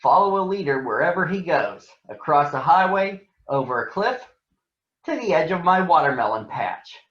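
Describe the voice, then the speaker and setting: A folksy old-school farmer bear from a children's storybook, acted by a man reading aloud. He gives wise advice emphatically, with a lively, swooping pitch. The sound is dull and roomy. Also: moderate pace. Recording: dull, echoey room.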